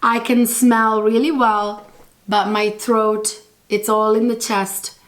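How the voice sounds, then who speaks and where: Woman, 30s, hoarse and half-lost voice, chatty beauty vlogger rambling to camera. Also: on the high side, little pitch movement, takes it slowly. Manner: chatty, rambling, with restarts and "you guys". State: hoarse, lost voice from a sore throat. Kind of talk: casual review to camera. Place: indoor studio set with textured wall.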